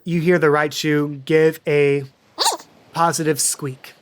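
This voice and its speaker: A man's voice: on the high side, flat delivery, takes it slowly, noisy background. A man narrating an improvised tabletop game as game master, casual and playful in manner.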